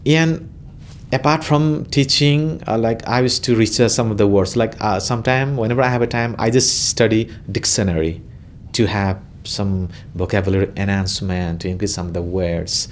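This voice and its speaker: A man's voice: on the low side, normal speed, a little hiss or hum. A man in his thirties with a Nepali accent, answering interview questions in a hesitant way, with many fillers and restarts.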